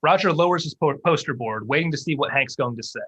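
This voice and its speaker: Male stage-direction reader for a script table read; forceful, slightly raspy, pitched a little high. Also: fast, lo-fi sound.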